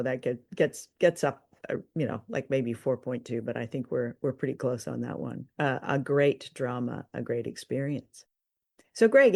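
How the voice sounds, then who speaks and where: A woman's voice: very deep voice, creaky, a little mellow. A woman co-hosting a podcast, chatting casually and appreciatively as she reviews radio dramas.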